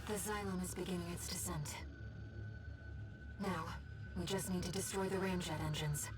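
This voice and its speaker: Actress as a resolute mission operator over blaring music. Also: female voice, lowish voice, steady tone, moderate pace, natural voice. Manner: crisp, businesslike briefing. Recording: game audio under loud music. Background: loud game music and engine noise.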